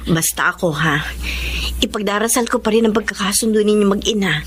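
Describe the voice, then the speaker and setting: A woman's voice: medium pitch, fairly quick, a little hiss or hum, natural voice. A woman playing a concerned, pleading peacemaker with a Filipino accent in a radio drama, earnest and sympathetic.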